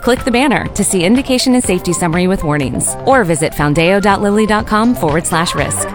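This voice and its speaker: Calm woman reading a drug ad. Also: mid-range voice, fast, music in the background. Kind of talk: scripted pharmaceutical ad.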